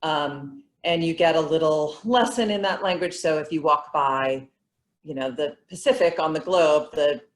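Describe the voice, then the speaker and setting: A woman's voice: sits low, normal speed. A woman speaking as a museum representative on a panel, explaining things with enthusiasm. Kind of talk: panel discussion answers.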